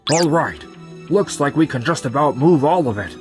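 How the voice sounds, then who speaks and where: A man's voice: fairly high pitch, lively intonation, normal speed, soft background music, lightly acted. A man voicing an anxious, hesitant young salesman in a manga dub. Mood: worried.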